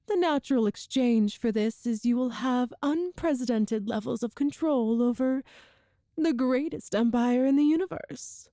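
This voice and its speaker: A cruel and seductive empress, acted by a woman in an audio roleplay, speaks slowly in a soft, mellow, fairly high voice. Her delivery is regal and teasing, with formal, calculated phrasing, recorded close and dry. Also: dry recording. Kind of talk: scripted audio roleplay, ASMR-style monologue to the listener.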